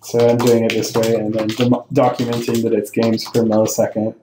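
A man's voice: on the low side, monotone, fairly quick, warm tone, a little hiss or hum. Man explaining code in a roomy echo. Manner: thinking aloud, self-correcting, explaining step by step. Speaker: programming tutorial host. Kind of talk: screen-recorded coding tutorial. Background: keyboard typing and clicks.

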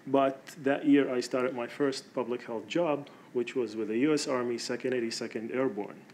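A man in his thirties from Iraq tells his own story at a podium in an auditorium. He pauses a lot with "uh" and "um" in a mid-pitched voice. A faint hiss of room noise sits under him. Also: creaky, a little mellow. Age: 30s. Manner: halting, with frequent "uh" and "um". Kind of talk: personal story told on stage.

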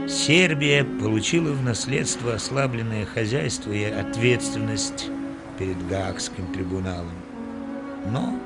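A man reading scripted documentary narration in a measured, formal way. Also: lowish voice. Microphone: studio voice-over. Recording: voice-over with music underneath.

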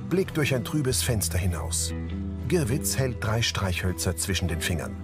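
A male audio description narrator with a fairly low, animated voice. He reads scripted action in the present tense over clear music. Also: normal speed. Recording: music behind the voice.

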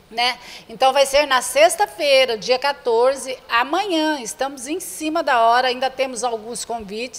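Higher-pitched older Brazilian woman, reverberant room. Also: a bit bright. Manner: earnest, repetitive, addresses viewers directly. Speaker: association president, interview guest. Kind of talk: spoken interview inviting the public to a charity event. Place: indoor room with bare walls. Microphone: handheld reporter's microphone held before her.

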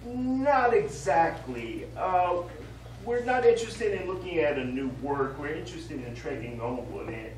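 A man playing a gruff, sarcastic, impatient customer in a live stage comedy. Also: fairly high pitch, expressive, a little slow. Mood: hesitant. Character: blunt, irritable, sarcastic male customer. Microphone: distant audience camera. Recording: echoey theatre, distant mic, clear noise.

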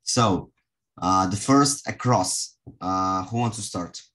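Guy teaching english online, low voice, slow, lots of halting pauses. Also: a bit bright. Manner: halting, with long hesitations. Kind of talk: online group lesson, asking pupils questions. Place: online video call.

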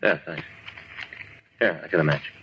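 An actor playing a blunt, probing police detective questioning in a 1950s radio drama. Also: male voice, very deep voice, normal speed. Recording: muffled, hissy 1950s radio recording.

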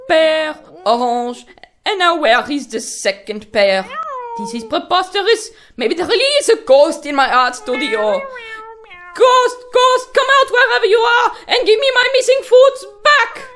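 High, swooping monkey painter. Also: female voice, a bit bright, heavily acted. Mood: baffled, exasperated. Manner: exaggerated, theatrical, talking fondly to a pet. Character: fussy, theatrical French monkey painter puppet, fond of cat. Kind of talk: children's puppet show acting.

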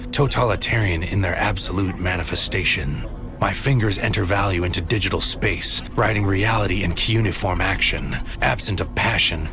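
Bleak, fatalistic man delivering solemn poetic monologue in audio drama; deep voice, music underneath. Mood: cold, detached. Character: brooding, fatalistic man narrating his bleak inner world.

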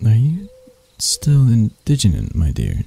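A man voicing a deranged, seductive spider vampire in an intimate ASMR roleplay, soft and breathy, teasing and theatrical, doting yet menacing. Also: medium pitch, huge pitch swings, full character voice. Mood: tender, possessive. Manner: slow, teasing, theatrical, with dark chuckles.

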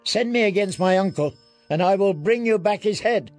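A man's voice: high voice, normal speed, soft background music, voice pushed up, close to an everyday voice. A man voicing a proud, insistent young knight in a declamatory audiobook style, pleading his loyalty. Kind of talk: audiobook character acting.

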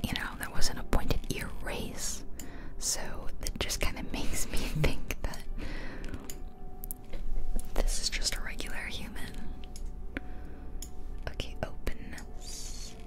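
A woman's voice: measured pace, pauses often. Whispering woman doing ASMR game commentary. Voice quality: unvoiced whisper throughout. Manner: musing, chatty, addressing listeners directly. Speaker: ASMR game creator and host. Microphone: close ear-to-ear ASMR microphone.

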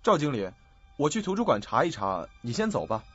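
Man acting young male investigator, earnest, uneasy, lively intonation, dull recording, suspense audio drama. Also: medium pitch, brisk, loud music behind the voice, natural voice. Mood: earnest, subdued.

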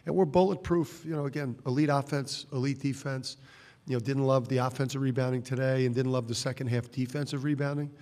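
A middle-aged man coaching basketball fields questions at a press conference table. He speaks bluntly, restarting and repeating words, in a level, unvaried pitch. The room's long reverb trails each phrase. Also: steady tone, fairly quick, a little mellow. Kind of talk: postgame press conference answers. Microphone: table microphone.

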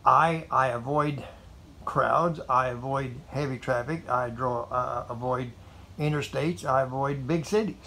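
A man's voice: mid-range voice, measured pace, bright, forceful tone. Old guy in his 70s rambling about his ride, lots of uh and you know, faint hum behind, recorded in a tin carport. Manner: folksy, meandering, repeats himself with fillers. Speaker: motorcycle vlogger. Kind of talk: rambling casual monologue to camera. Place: metal carport garage, indoors.

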